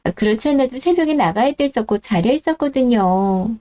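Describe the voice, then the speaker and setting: A woman's voice: fairly high pitch, expressive, fairly quick, mellow, natural voice. A woman voicing a polite, deferential middle-aged daughter-in-law in a radio drama who speaks apologetically.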